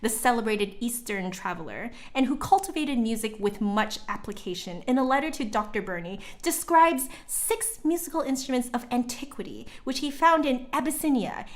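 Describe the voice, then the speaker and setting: A woman livestream host reading an old text aloud dramatically, breaking off for informal, chatty asides to viewers. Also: high voice.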